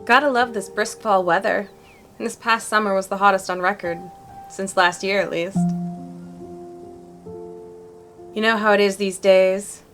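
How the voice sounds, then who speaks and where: A woman's voice: mid-range voice, fairly even intonation, normal speed, fairly bright tone, lightly acted. Woman as a chatty, earnest young cryptid hunter, background music. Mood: wistful, friendly. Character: chatty, upbeat young female cryptid-hunting video maker. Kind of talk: fan-dubbed visual novel dialogue.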